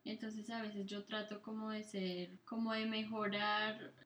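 A woman's voice: medium pitch, flat delivery, unhurried, over a noisy crowd. Colombian woman explaining in easy chat. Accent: Colombian Spanish. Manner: explains at length, many fillers like "pues" and "entonces". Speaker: podcast co-host. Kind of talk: casual conversational interview.

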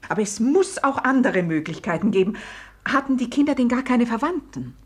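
An actress playing an anxious, protective wife in a 1950s radio play, earnest and insistent. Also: female voice, fairly high pitch, animated, brisk, husky. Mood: worried, insistent.

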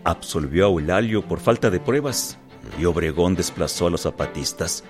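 Very low-voiced grave army officer. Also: male voice, expressive, moderate pace, soft background music. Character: grave officer reporting bad news to his general. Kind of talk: radio drama acting.

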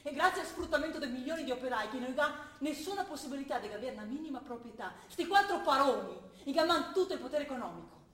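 A fervent young revolutionary. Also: female voice, high-pitched, lively intonation, a bit bright. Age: young adult. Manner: earnest, quick, rising to fervent argument. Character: young woman, idealistic revolutionary student. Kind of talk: stage play acting. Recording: roomy stage recording with faint noise.

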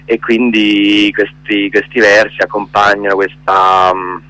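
A man, a stage actor and director, talking about his play in a casual phone interview, pausing often with fillers as he searches for words. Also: fairly low pitch, monotone, bright, forceful tone, poor audio. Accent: Italian.

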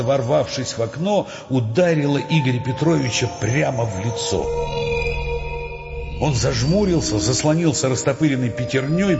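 A man narrating a dramatised literary story as part of an audio play. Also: medium pitch. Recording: dull sound, long reverb, music underneath. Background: music.

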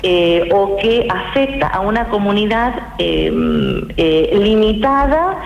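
A woman, a guest linguist on a radio interview, explaining in a measured, academic way with many hesitations. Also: mid-range voice, animated, soft background music. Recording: roomy, muffled radio with hiss.

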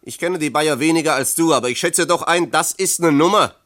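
An actor playing an evasive East German works official, measured and condescending, in a German radio play. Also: male voice, fairly high pitch, fairly bright tone, natural voice. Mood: firm, official.

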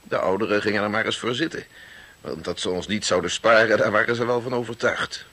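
A man's voice: fairly low pitch, fairly quick, a bit bright. An actor playing an ageing family man who reflectively narrates his clan's chronicle in a 1960s radio drama. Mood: wryly reminiscing. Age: older. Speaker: narrator in character.

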